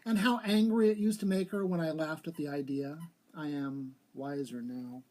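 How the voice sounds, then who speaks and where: A man voicing a calm young woman in a ghost story, speaking evenly and with authority. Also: a little high, lightly acted. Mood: composed. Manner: even, authoritative, deliberate. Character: slim dark young woman, calm, eerily confessing a killing. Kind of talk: storybook character reading.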